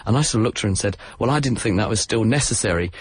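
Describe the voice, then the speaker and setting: A man with a southern English accent explaining science on a radio call-in show, relaxed and joking at his own expense. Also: lowish voice, very fast, slightly rough, no echo, clean studio sound. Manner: relaxed explainer, self-deprecating jokes. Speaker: science expert guest on radio.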